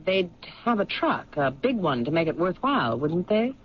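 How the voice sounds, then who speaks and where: An actress playing a sharp, persistent police lieutenant in a 1970s radio drama, questioning in a matter-of-fact way. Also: female voice, lowish voice, very animated, normal speed, slight background noise. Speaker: detective lieutenant. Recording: dull lo-fi 1970s radio recording.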